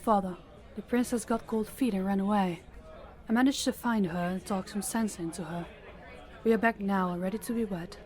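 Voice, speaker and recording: Young royal daughter voiced by a woman, composed and dutiful, medium-pitched, for romantic audio drama. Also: normal speed, darkish tone, a faint crowd, lightly acted. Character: young princess, composed, dutiful, quietly protective. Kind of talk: audio roleplay drama acting.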